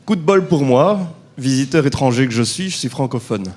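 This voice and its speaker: A man's voice: mid-range voice, animated, moderate pace, a little mellow. A man from Belgium, asking a frank, wry question from the floor at a conference. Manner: frank, wry, informal asides. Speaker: transit accessibility manager asking a question.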